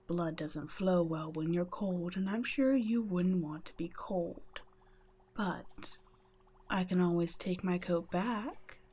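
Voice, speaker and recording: A woman's voice: on the low side, husky. Woman playing a whispering vampire scientist. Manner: soft-spoken, patronizing, often whispering. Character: cool, condescending female vampire scientist. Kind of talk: ASMR roleplay audio.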